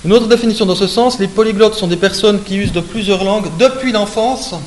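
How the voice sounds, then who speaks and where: A man's voice: sits high, fairly quick. A man from Switzerland giving an academic lecture, explaining points with frequent hein and euh and correcting himself as he goes. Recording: echoey room with faint hiss.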